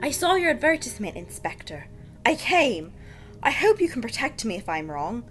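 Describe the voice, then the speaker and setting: A woman's voice: a little high, very animated, moderate pace, over music. A woman playing an anxious, hesitant married lady in a melodramatic radio crime drama. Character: anxious, fretful married society lady informant. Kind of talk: melodramatic period radio acting.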